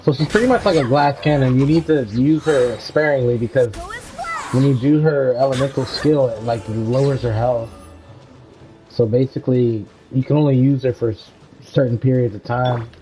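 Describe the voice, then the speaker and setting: A young man casually commentating on a game he is playing, excited and slangy. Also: mid-range voice, normal speed, soft background music, rough recording. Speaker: streamer.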